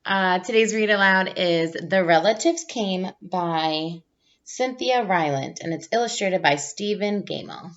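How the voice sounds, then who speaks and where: A woman's voice: on the low side, unhurried, muffled recording. A woman teacher reading a storybook aloud to pupils, expressively, drawing out phrases for emphasis.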